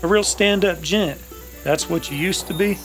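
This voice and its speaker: An actor playing a gruff, sarcastic bounty hunter with an in an old-time radio drama, dry and mocking. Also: male voice, sits high, normal speed, fairly bright tone, faint music behind.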